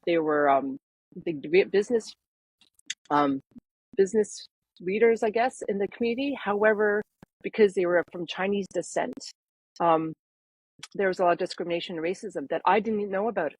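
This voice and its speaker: A woman in her fifties who grew up in Canada, sharing her personal story as a guest in a podcast interview, earnest and reflective with many pauses. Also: mid-range voice, husky, low-quality recording. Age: 50s. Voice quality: soft and gentle. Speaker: guest, parenting coach.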